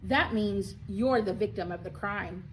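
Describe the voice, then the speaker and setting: A woman voicing a sharp, bossy young girl from a children's storybook. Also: mid-range voice, unhurried, a little hiss or hum, natural voice, poor audio. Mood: brisk, bossy.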